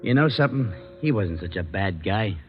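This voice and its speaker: A man's voice: lowish voice, lively intonation, moderate pace, narrow, tinny audio, soft background music, natural voice. An actor playing a mild, plainspoken lawman in an old-time radio detective drama. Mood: reflective.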